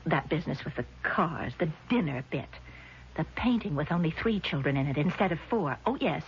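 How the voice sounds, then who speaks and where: An actress playing a distraught, accusing sister, grieving and frightened, in intense 1970s radio drama style. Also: female voice, deep voice, expressive, a little fast. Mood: bitter accusation. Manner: intense, melodramatic, emphatic. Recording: dull, noisy vintage radio recording.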